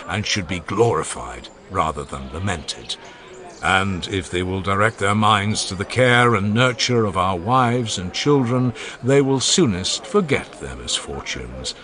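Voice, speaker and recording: A man as a grave, eloquent old philosopher, deep. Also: fairly bright tone. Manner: solemn, declamatory oration. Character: older male philosopher, grave, eloquent orator. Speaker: Socrates. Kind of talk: dramatized audiobook reading.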